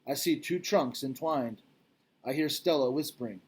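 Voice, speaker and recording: A man's voice: mid-range voice, moderate pace, husky. A man reading a children's storybook aloud as narrator. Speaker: read-aloud reader.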